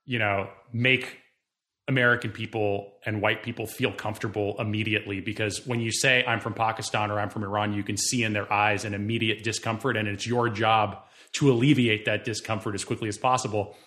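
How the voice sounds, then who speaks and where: Measured, reflective male interviewer. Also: lowish voice, little pitch movement, bright, forceful tone. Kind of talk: thoughtful interview questions.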